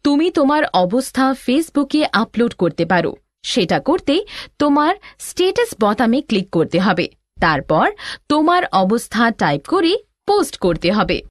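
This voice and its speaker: A woman's voice: a little high, very animated, unhurried, no echo, studio quality. A woman playing a helpful elder sister, giving scripted, reassuring instruction in an educational cartoon. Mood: instructive. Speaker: tutorial narrator and teacher.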